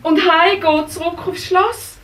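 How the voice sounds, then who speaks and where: A woman's voice: very high voice, fairly even intonation, a little slow, fairly bright tone. A woman storyteller voicing an anxious young princess, pleading and talking to the children. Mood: worried. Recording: roomy live stage sound from far off, faint music.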